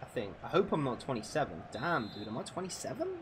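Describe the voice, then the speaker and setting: A man in his twenties talks casually over a football game stream, his voice sitting in an ordinary middle pitch. He chats freely with viewers and swears often as he goes. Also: sing-song, fast talker, noisy background. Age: 20s. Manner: chatty, swears freely, answers viewers' questions. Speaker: gaming streamer.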